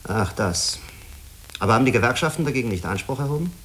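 A man's voice: medium pitch, lively intonation, fast talker, fairly bright tone, slight background noise, lightly acted. A man playing a blunt, wary spaceship commander in a German radio drama, direct and reserved in his manner.

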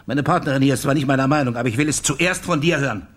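An actor playing a bitter, sardonic private detective in a German radio crime drama, pressing for answers. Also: male voice, mid-range voice, rapid-fire, natural voice. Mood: confrontational. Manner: sardonic, confrontational. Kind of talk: German radio play acting.

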